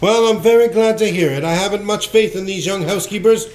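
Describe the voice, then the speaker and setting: A cheerful young Victorian gentleman, played by a man, speaks in a high, good-humoured voice. It is theatrical radio play acting in an echoey room. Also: fast, a little hiss or hum, lightly acted. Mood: genial, forgiving. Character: genial, forgiving, cheerful young Victorian gentleman, Scrooge's nephew.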